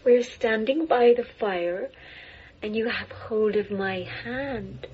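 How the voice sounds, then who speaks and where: A woman's voice: on the high side, husky, lo-fi sound. A woman in a radio drama playing a devoted, coy eighteenth-century servant girl of fifteen in a trance, speaking deferentially. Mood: playful, eager. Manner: deferential, slow, coquettish.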